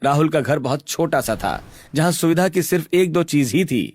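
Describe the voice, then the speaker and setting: A man narrating a scripted animated story. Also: fairly high pitch, normal speed.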